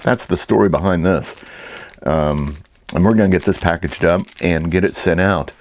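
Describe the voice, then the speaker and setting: Low-voiced man chatting on a vlog. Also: normal speed. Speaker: online reseller and vlog host. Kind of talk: casual vlog chat.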